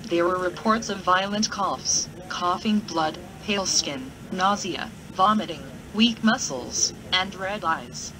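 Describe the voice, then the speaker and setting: A woman playing a TV news anchor, reading a scripted bulletin in a flat, measured way. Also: on the low side, background noise.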